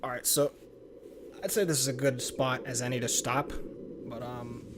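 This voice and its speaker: A man's voice: mid-range voice, fairly even intonation, moderate pace, background noise. A man reading visual novel narration aloud as a let's player, occasionally stumbling and rereading words.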